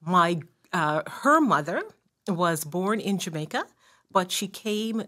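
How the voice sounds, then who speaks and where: A woman's voice: lowish voice, expressive, slow pace, pushed and bright. Woman in her sixties telling family stories. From Montreal, Canada. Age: 60s. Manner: conversational storyteller, frequent "uh" and "right?". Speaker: guest. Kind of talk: casual interview. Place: community studio.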